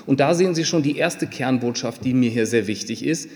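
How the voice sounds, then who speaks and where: A man's voice: mid-range voice, brisk, a little mellow. A man giving a formal business presentation, explaining figures to listeners in corporate jargon. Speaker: company executive presenting figures. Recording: long reverb of a hall.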